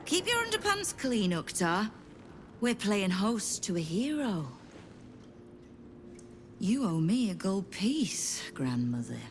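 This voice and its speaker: A woman's voice: medium pitch, sing-song, a little hiss or hum. A woman playing an imperious crime guildmaster in a video game, sardonic, commanding and coolly menacing. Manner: sardonic, commanding, deliberate phrasing. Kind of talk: video game dramatic acting.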